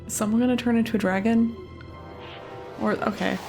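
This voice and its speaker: A woman's voice: on the high side, steady tone, a little fast, gravelly, darkish tone, faint music behind. A woman giving chatty, casual gameplay commentary, muttering reactions to herself as she plays. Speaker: let's play host.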